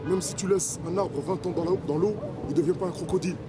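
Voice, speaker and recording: A man's voice: high-pitched, fast talker. A man from Congo answering interview questions casually and colloquially, outdoors in a city park. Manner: colloquial, informal. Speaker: sports trainer and community activist. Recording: outdoor, very noisy urban crowd.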